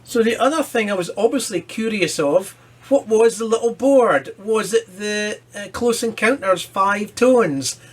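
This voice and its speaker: A man with a somewhat high voice gives a casual demonstration at his workbench. He talks informally, thinking aloud as he works through a repair. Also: animated, measured pace. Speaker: presenter of a hobby electronics repair video. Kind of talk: casual hands-on demonstration commentary.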